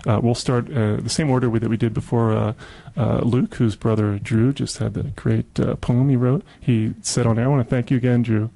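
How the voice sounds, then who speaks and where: A man's voice: medium pitch, steady tone, moderate pace, vocal fry, few pauses, dark tone. An American man hosting a casual community radio interview, chatting and murmuring agreement as he goes. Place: radio studio.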